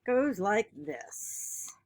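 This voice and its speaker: A woman's voice: medium pitch, very animated, extremely slow, darkish tone. Lady doing a kids book read aloud, takes her time, voice goes up and down a lot.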